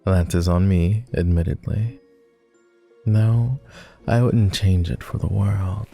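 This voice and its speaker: A man playing a doting, refined vampire gentleman in a romantic roleplay ASMR, with formal, courtly and gently teasing speech. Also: low voice, fairly even intonation, slow pace, soft background music. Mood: teasing, affectionate.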